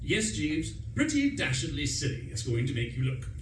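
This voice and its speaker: A man playing a pompous, excitable young upper-class gentleman, indignant and self-satisfied, in a radio play. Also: on the high side, normal speed. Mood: smug, triumphant. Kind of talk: stage radio play acting with first-person narration. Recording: roomy, distant stage recording, clear noise.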